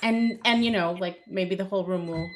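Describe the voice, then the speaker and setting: A woman co-hosting a podcast in casual chat, speaking in an animated, emphatic way, often laughing and breaking off mid-thought. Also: fairly low pitch, moderate pace. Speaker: podcast co-host.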